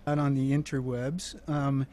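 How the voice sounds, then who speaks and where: Mellow man guest, trailing off. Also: mid-range voice, level tone, a little slow.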